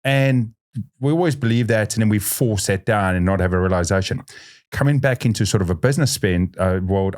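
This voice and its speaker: A man hosting a podcast interview, talking animatedly and acting out quotes. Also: on the low side. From South Africa. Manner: animated, restarts sentences, acts out quotes. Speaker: podcast host. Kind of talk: conversational interview.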